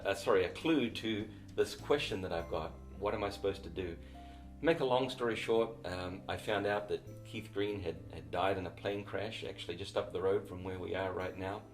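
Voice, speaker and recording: Low-voiced New Zealand man telling his story. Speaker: missionary and author. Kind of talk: interview storytelling.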